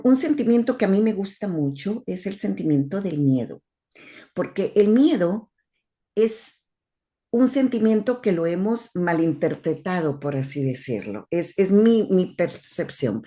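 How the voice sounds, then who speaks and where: A woman's voice: medium pitch, big pitch swings, measured pace, dark tone. A woman from Guatemala with a Guatemalan Spanish accent, hosting a casual conversation and often repeating words as she searches for them. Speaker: host.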